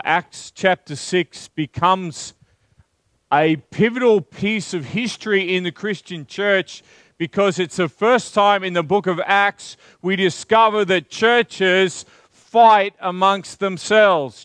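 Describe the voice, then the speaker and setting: Australian pastor in his forties, relaxed conversational preacher, fairly high voice, closely miked and dry. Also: smooth, no room sound. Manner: conversational preacher, asks the congregation questions, stresses words slowly. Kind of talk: sermon. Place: large church hall with carpeted stage.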